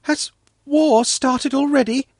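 A man's voice: sits high, very animated, a little slow, no echo, voice pushed up, exaggerated voice. A man voicing a small, curious, kind-hearted girl in a storybook reading. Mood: frightened.

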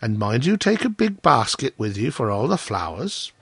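A man voicing an admiring, complacent miller's wife in a storybook, full of gushing flattery. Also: mid-range voice, normal speed, gravelly, slightly rough, no room sound, full character voice. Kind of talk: storybook character voice.

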